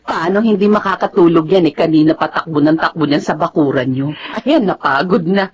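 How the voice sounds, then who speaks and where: An actress playing a practical, comforting village woman in a Filipino radio drama, chatty and reassuring, with a husky voice. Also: deep voice, fairly quick, darkish tone. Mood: easygoing. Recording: dull, muffled radio recording.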